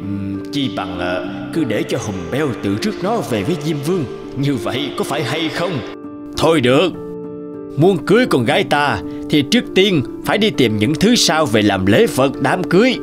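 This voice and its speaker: An actor voicing a stern, scheming middle-aged lord in an animated folk tale, haughty and menacing. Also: male voice, on the high side, moderate pace, over music.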